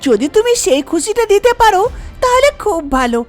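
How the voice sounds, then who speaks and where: A woman's voice: very high-pitched, very animated, fairly quick, soft background music, pitched above the performer's own voice. A woman voicing a spoiled, demanding rich young woman in a cartoon folk tale. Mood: haughty, demanding. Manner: boastful, blaming.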